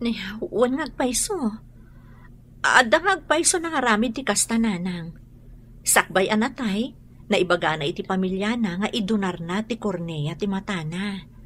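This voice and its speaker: An actress with an Ilocano accent playing a patient, devout daughter, consoling and measured, in a radio drama. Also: female voice, mid-range voice, a bit bright, slight background noise, lightly acted.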